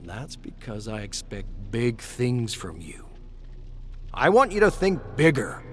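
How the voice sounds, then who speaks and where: A man's voice: medium pitch, very animated, measured pace. A man voicing a wealthy, patronizing older factory boss in a dramatized game story. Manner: pompous, patronizing. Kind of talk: dramatized game lore narration. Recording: music under the voice.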